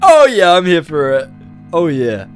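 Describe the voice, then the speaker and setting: Young new guy with a cold, kinda nasal, voice jumps up and down a lot, excited, faint music behind. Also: on the high side, unhurried. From New Zealand. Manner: excitable, exclaiming, laughing. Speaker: reaction video host. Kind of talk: casual reaction vlog. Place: small bedroom studio with shelves of figurines. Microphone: studio condenser mic on boom arm, headphones on.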